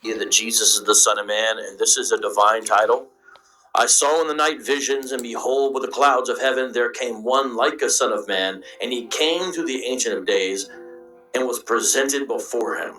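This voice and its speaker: A man giving a measured Bible lecture, played back as a clip. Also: mid-range voice, bright, forceful tone, distant mic, faint music behind. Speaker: Bible teacher. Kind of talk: recorded scripture lecture. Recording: echoey clip from off mic.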